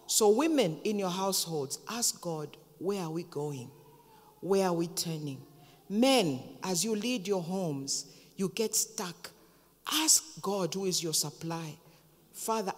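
Slow, emphatic Zambian woman preaching. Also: a little high. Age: 50s. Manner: emphatic, slow and dramatic with pauses. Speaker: pastor. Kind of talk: sermon. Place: large church hall, on stage at a pulpit. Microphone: handheld microphone. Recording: long reverb of a church hall.

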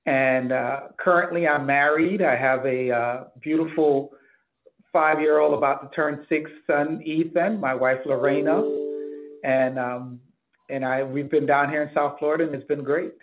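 Male executive, middle-aged, from the Bahamas, slightly high pitch, interview answers, thin phone-like call audio. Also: fairly even intonation, measured pace, soft background music. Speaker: hospital chief executive being interviewed. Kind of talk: interview answers about career. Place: office, indoors. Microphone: computer mic on a video call.

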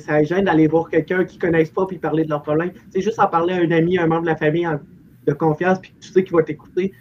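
A young man with a Québécois French accent, talking informally and haltingly in a casual group discussion. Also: fairly high pitch, little pitch movement, a little mellow. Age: young adult. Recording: dull, faintly noisy video call.

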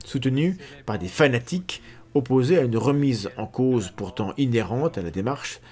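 A man's voice: medium pitch, animated, moderate pace, darkish tone. A man in his forties, a science skeptic host, reading a critical analysis to camera with sardonic, ironic asides. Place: indoor room at a desk.

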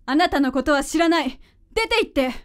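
A woman's voice: sits high, animated, fairly quick. A woman playing a guarded, fragile and distraught young woman in a Japanese radio drama. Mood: disbelieving.

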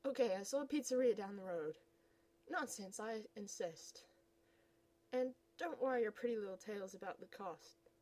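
A woman's voice: medium pitch, normal speed, a little mellow, close to an everyday voice. A woman voicing a pompous, lovesick young man who gushes theatrically over his date. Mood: smitten. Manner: gushing, theatrical.

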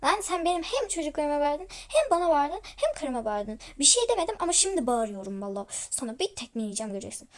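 A woman's voice: sits high, sing-song, quick pace, few pauses. A woman voicing an angry, protective father with a confrontational manner in a family roleplay story.

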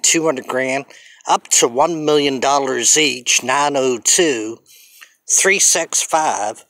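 A man's voice: a little high, fairly bright tone, no echo. An older man from Canada rambling in a selfie vlog, filmed outdoors on a phone held at arm's length, drawling and repeating himself as he promotes his channel. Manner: slow, drawling, repetitive self-promotion. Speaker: vlogger. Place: outdoors on a sunny hillside street.

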